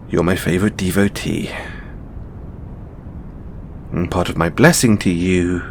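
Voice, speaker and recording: A man playing an affectionate, stressed god and boyfriend in an intimate audio roleplay, speaking in a halting, softly playful way. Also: sits low, slight background noise, full character voice. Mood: weary, exasperated.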